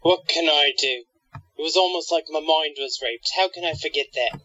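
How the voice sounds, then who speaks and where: A man's voice: a little high, moderate pace, sharp tone, no room sound. An actor playing a shaken, traumatized young man in a radio drama. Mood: distressed. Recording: dull lo-fi, close and dry.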